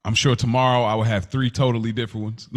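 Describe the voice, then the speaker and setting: A man co-hosting a podcast in casual chat, telling stories in an animated, slangy way peppered with "bro" and "like". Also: medium pitch, fast. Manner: slangy, animated storyteller, often says "bro" and "like". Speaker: podcast co-host.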